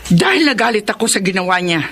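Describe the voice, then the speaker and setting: A woman's voice: medium pitch, very animated, fast, a bit bright, a little hiss or hum. A woman playing a stern, aggrieved middle-aged mother and wife in a radio drama, emphatic and confrontational. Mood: angry, accusing. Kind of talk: Tagalog radio drama acting.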